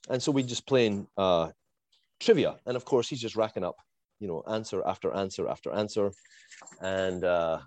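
A man with a Scottish accent, casually telling a lively story as a guest and laughing and swearing along the way. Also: low-pitched. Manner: animated, swears, laughs while telling, acts out quotes.

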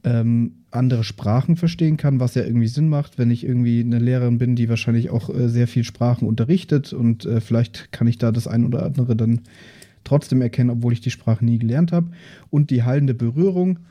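Mellow, dark-voiced man explaining haltingly. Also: mid-range voice, steady tone. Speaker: tabletop role-playing player. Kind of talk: casual character introduction on an actual-play podcast.

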